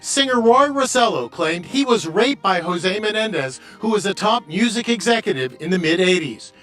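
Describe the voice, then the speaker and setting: High-pitched male news reporter over music. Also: animated, normal speed, a bit bright. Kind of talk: scripted news narration.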